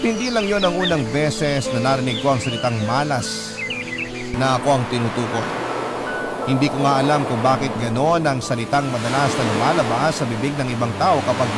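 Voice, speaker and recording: A man narrating a scripted first-person story in an earnest, reflective manner. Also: mid-range voice. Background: loud music.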